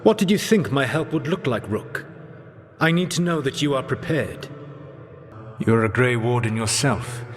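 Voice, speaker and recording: An actor playing a solemn, proud, regretful ancient elf mage in a video game, speaking in a measured, formal way. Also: male voice, medium pitch, animated, natural voice. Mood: shrewd, composed. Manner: measured, formal, deliberate, grave. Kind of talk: video game dramatic dialogue. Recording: long echoing reverb.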